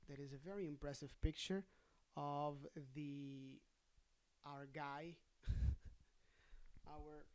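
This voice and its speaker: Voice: medium pitch, a little mellow. A storyteller reading a fairy tale aloud, breaking off for casual, wry and chatty comments. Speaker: storybook reader and host.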